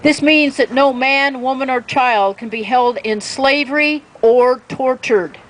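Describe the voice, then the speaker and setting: A woman's voice: high-pitched, measured pace, low-quality recording. A woman reading and paraphrasing formal statements aloud at an outdoor public event. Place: outdoor town plaza. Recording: outdoor event with street traffic noise.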